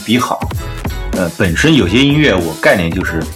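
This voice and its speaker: A man's voice: low-pitched, expressive, normal speed. A man hosting a casual podcast chat. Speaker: podcast host. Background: background music.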